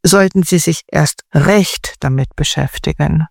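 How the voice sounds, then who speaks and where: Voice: medium pitch, a little slow, creaky, dry recording, clean studio sound. An interview guest answering in a chatty way, thinking aloud with many fillers and restarts. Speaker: guest expert on AI.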